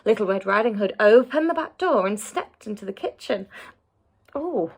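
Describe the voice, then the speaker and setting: A woman teacher reading a children's story, expressive and deliberate, as if to small listeners. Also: mid-range voice, vocal fry.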